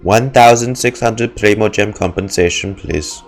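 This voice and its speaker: A man's voice: fairly low pitch, a little slow, faint music behind. A man giving casual live reactions as a streamer playing a story-driven game.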